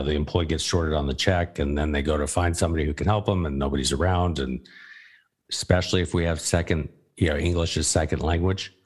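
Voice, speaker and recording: Male host, extremely deep voice, folksy and conversational. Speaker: podcast host. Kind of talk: conversational interview.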